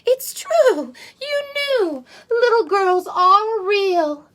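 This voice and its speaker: A young, wonderstruck storybook character, voiced by a woman, exclaims in a very high voice. The words are stretched out slowly, with big swoops of delight. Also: sing-song, fairly bright tone, full character voice. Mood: thrilled amazement. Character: thrilled, believing young unicorn and little girl.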